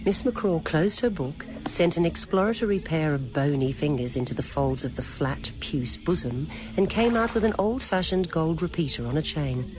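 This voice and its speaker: A woman narrating a dramatic scripted story in a deliberate, literary manner. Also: sits low, animated, over music. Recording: noisy old radio recording.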